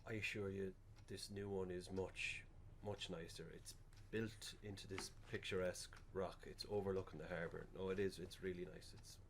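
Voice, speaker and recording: Man playing irish funeral director, really low voice, hesitant n salesy, placating, bit of background noise, radio play. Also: level tone, normal speed, few pauses. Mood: politely reassuring. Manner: hesitant, stammering, politely soothing. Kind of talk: naturalistic radio play acting.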